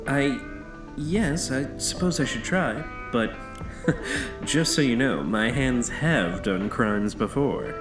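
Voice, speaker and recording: A man's voice: mid-range voice, lively intonation, slow pace. A man playing an uneasy, formal novice accomplice in an audio drama, with hesitant and stiffly proper phrasing. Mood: hesitant, nervous. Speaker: voice actor in a fiction podcast. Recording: distant, echoey, music underneath.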